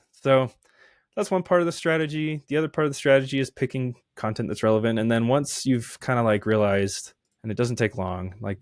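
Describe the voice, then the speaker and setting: A man's voice: on the low side, creaky, no echo, studio quality. A young man, an indie game developer, talking casually in an interview and rambling with many fillers and restarts.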